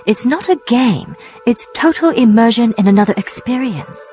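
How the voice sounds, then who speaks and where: An actress playing a businesslike tech company representative in a radio drama, polite, measured and firm. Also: female voice, a little high, lively intonation, mellow, soft background music, lightly acted. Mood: promoting.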